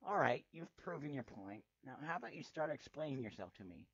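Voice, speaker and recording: A man narrates fiction in a dramatic, sardonic style. His voice sits at an average pitch. The recording is very dry and somewhat dull and lo-fi. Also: big pitch swings, fairly quick, husky, dry recording. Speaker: amateur fanfiction reader. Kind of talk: dramatic first-person story narration.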